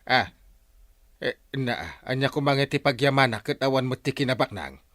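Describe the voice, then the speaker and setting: Excitable, stammering village man, played by a man. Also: mid-range voice, normal speed, lightly acted. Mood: flustered. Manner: stammering, full of exclamations. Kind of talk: radio comedy drama acting.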